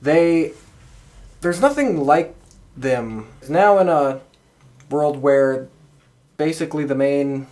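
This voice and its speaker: A man's voice: fairly high pitch, expressive, slow pace, pauses often, a little mellow. A man co-hosting a podcast, talking in a casual, conversational way. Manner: informal, conversational. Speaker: podcast co-host. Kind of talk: casual chat.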